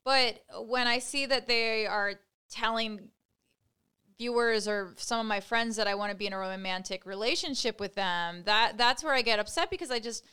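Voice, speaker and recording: A young woman guest with a bright, crisp, very clear voice, a little higher than most. She talks earnestly about her experience, hesitating and restarting her sentences as she goes. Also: normal speed. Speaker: guest, social media influencer. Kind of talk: casual interview chat.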